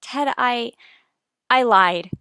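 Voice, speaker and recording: A woman's voice: a little high, very slow, pushed and bright, dry recording, studio quality, exaggerated voice. An actress playing an earnest, anxious but determined wife and mother in a 1950s radio soap, formal and firm. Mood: tense, earnest.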